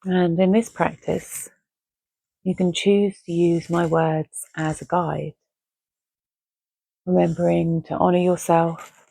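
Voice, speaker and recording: A woman guiding a relaxation meditation in a gentle, measured, soothing way, leaving long pauses between phrases. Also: fairly low pitch, flat delivery, creaky, slightly rough, no echo, rough recording. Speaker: meditation guide. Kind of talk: guided Yoga Nidra relaxation, soothing and measured.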